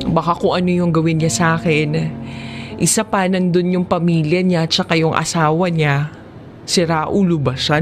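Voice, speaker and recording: A narrator with a Filipino accent reading a scripted first-person drama story, audiobook style. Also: mid-range voice. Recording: music behind the voice. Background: background music.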